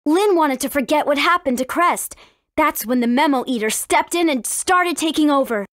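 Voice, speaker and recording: A woman voicing a brave, friendly teenage warrior princess in a video game, with an earnest, clearly spoken manner. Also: sits high, normal speed, no echo, clean studio sound, natural voice. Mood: earnest, caring. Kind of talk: scripted video game character dialogue.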